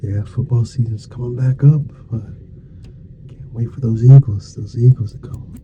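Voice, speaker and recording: A man speaking softly, at times nearly whispering, in an ASMR roleplay as a dentist, with folksy, reassuring small talk in short murmured phrases. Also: medium pitch, fairly even intonation, moderate pace, lo-fi sound, slight background noise. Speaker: ASMR performer playing a dentist. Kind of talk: ASMR roleplay, soft-spoken to half-whispered.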